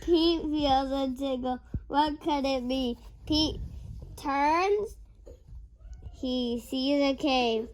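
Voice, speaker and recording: Young girl reading slowly and haltingly. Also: sits high, a little mellow, slight background noise. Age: child. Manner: halting, word-by-word early-reader delivery. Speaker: young reader. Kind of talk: reading a picture book aloud.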